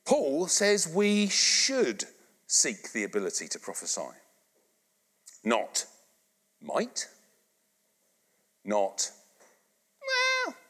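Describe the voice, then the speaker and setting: Fired-up man preaching in a reverberant hall. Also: a little high. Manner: animated, conversational, asks the congregation to repeat lines. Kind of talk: sermon. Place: church hall, live congregation.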